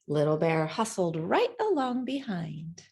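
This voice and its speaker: A woman reading a children's picture book aloud in an expressive storytelling manner, acting out the sounds and dialogue. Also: fairly low pitch, a little slow, breathy edge. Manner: animated, acts out sounds and dialogue. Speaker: storybook reader.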